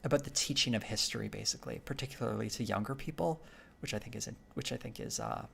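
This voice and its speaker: Male streamer, raspy, heavy gravel in the voice, loose rambling delivery. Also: on the low side, wildly swooping pitch, fast, sharp tone. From Canada. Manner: rambling, many fillers and restarts. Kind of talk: casual live-stream chat with viewers.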